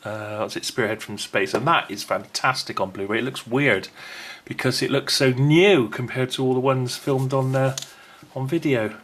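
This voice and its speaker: A man's voice: medium pitch, moderate pace, a bit bright. A man presenting an unboxing video in a casual, unscripted way, chatty and rambling as he thinks aloud.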